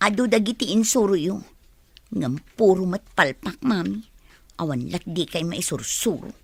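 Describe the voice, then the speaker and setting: A woman's voice: on the low side, animated, takes it slowly, gravelly, long pauses, breathy edge, no room sound, full character voice. A woman playing a timid young daughter and employee in an Ilocano radio comedy drama, with an Ilocano accent. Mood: dejected. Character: young daughter, timid employee afraid of losing her job.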